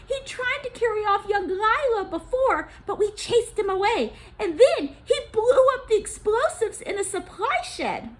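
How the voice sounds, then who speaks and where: A woman voicing a slimy, excitable, greedy male henchman in a storybook, with a strained, fretful delivery. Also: very high-pitched, measured pace, few pauses, voice pushed up, full character voice. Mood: alarmed. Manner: gasping, fretful, eager.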